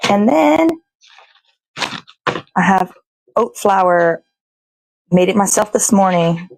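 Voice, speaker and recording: American lady rambling while she cooks, sweary and casual, creaky voice, dry close sound. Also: mid-range voice, expressive, slow pace, no room sound, low-quality recording. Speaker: host of a morning show. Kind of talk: casual chatty narration while cooking. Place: indoors in a home kitchen.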